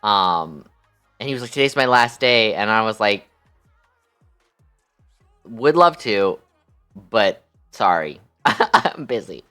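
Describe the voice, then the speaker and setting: A man's voice: on the low side, expressive, slow pace, pauses often, pushed and bright, smooth, faint music behind. A man chatting casually on a livestream in a sassy, campy, slangy way peppered with swearing. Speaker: live streamer.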